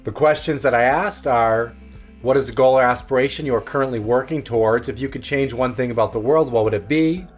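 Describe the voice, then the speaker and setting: A man reading a scripted voice-over introduction in a clear, deliberate way. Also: mid-range voice, soft background music. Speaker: video narrator.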